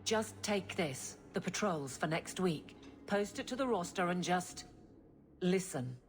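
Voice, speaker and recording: An actress playing a brisk, earnest but awkward guard captain in a fantasy video game, clipped and self-conscious. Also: female voice, fairly low pitch, animated, soft background music. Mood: brisk, insistent. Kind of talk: scripted game cutscene acting.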